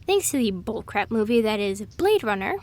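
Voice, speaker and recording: High-pitched woman rambling casually. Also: sing-song, moderate pace, darkish tone. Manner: scatterbrained, chatty, amused. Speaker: audio roleplay performer playing a rambling girlfriend. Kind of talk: casual rambling monologue of trivia.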